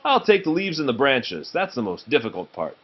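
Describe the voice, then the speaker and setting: A man's voice: medium pitch, big pitch swings, a little fast, slightly rough, lo-fi sound. A man reading the part of a plucky, boastful tailor from a children's fairy tale, in an exclaiming manner. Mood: cheerfully confident.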